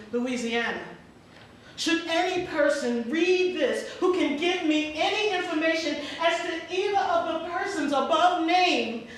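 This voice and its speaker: Actress as slow high-voiced freedwoman seeking kin. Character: formerly enslaved woman earnestly seeking her lost family. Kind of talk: dramatic stage reading. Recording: very echoey hall, distant mic, faint noise.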